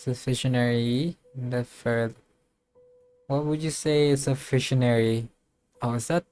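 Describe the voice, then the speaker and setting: A man giving casual playthrough commentary as a game streamer, musing aloud and thinking things through haltingly. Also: mid-range voice, a little mellow, no echo. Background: game music.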